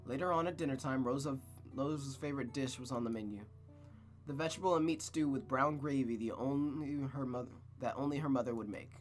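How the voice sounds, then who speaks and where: A man's voice: medium pitch, a little fast, soft background music. A man streaming a visual novel, narrating it aloud like a storybook and adding casual asides.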